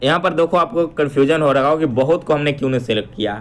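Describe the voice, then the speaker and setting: A man's voice: on the high side, rapid-fire. Man teaching a quiz lesson. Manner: instructive, addresses viewers directly, repeats and explains each question. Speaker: online exam-prep teacher.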